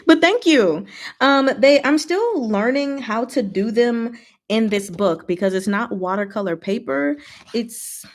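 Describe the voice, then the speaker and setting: A woman hosting a casual, rambling livestream chat with viewers, talking in an expressive, playful way. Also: fairly high pitch, slow. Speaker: livestream host answering chat.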